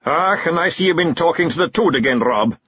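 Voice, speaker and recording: A man's voice: fairly high pitch, lively intonation, brisk, breathy edge, no echo, highs cut off, pitched above the performer's own voice. A man voicing a blunt, matter-of-fact fairy clansman with a Scottish accent in a storybook. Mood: blunt diagnosis. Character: big blunt male fairy clansman, distrusts learning.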